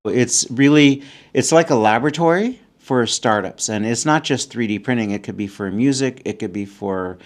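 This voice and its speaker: A man's voice: medium pitch, moderate pace, hardly any pauses. A man speaking as a college president, giving an enthusiastic prepared statement.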